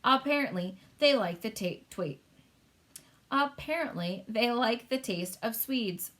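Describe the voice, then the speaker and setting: An imperious queen from a storybook, as a woman reader puts her on. She is brisk and testy, speaking formally and giving orders as if used to being obeyed. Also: a little high, expressive, fairly bright tone. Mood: dry, testy. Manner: commanding, formal.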